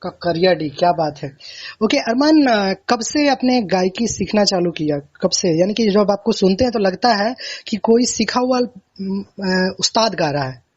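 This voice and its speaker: A man hosting a conversational phone interview, effusive and repeating himself, with a husky, high voice for a man. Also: lively intonation, a little slow. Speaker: interviewer, radio-style host. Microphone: recorded phone call. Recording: dull, lo-fi phone call recording.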